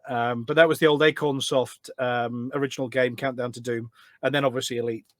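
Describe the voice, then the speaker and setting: A man's voice: mid-range voice, steady tone, fairly quick, fairly bright tone, no room sound. A middle-aged British man chatting casually as a podcast guest, rambling and correcting himself as he reminisces. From United Kingdom.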